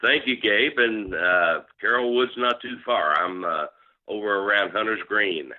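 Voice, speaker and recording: Deep-voiced man on a phone line. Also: unhurried. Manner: steady, explanatory, many "uh" fillers. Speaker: guest, club management consultant. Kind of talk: interview answers.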